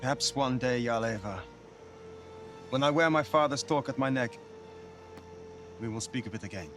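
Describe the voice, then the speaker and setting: Man voicing a young, resolute mid-pitched Viking. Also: normal speed, fairly bright tone, faint music behind, close to an everyday voice. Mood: guarded, resolute. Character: young Viking heir, guarded and determined. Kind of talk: dramatic video game acting.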